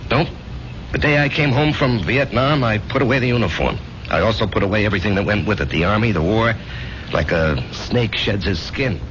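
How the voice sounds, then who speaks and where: An actor playing an American war veteran in his thirties, reflective and halting, in a 1970s radio drama. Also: fairly low pitch, lively intonation, fairly bright tone, slight background noise. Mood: easygoing. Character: haunted thirtyish war veteran turned weary salesman. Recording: muffled old radio broadcast.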